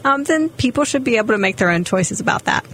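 A woman's voice: on the high side, expressive, fast talker, fairly bright tone. A woman, a physician hosting a radio health show, talking conversationally with some ums. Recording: faint background noise.

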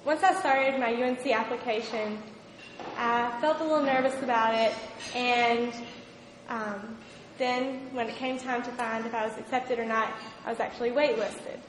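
A young adult woman, a university student, telling her story sincerely and a little haltingly. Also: a little high, steady tone, a little hiss or hum. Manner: sincere, grateful, slightly halting. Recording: echoey room with long reverb.

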